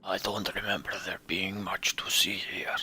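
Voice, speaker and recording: A man voicing a gruff, fatalistic old wanderer in a fantasy game, tired and resigned to the end. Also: deep voice, flat delivery, moderate pace, bright, forceful tone, a little hiss or hum. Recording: music behind the voice.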